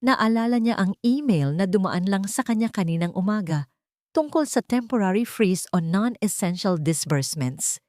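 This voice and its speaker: A woman's voice: mid-range voice, expressive, normal speed, darkish tone, dry recording, clean studio sound. A woman narrating a scripted love and business drama as a storyteller, with dramatic delivery.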